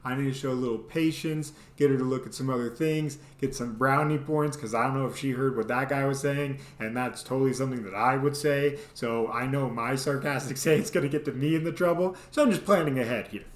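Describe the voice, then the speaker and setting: A man telling a casual, comedic story in a rambling, animated way, as the host of a vlog. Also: medium pitch, brisk, few pauses. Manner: animated, rambling, mimics people in exaggerated voices. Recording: roomy, echoey sound.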